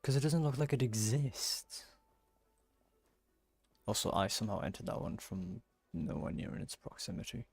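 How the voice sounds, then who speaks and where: A man's voice: medium pitch, lively intonation, moderate pace, pauses often, husky, dry recording. A man giving casual commentary as he streams a game, musing aloud and asking himself questions. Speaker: gaming streamer.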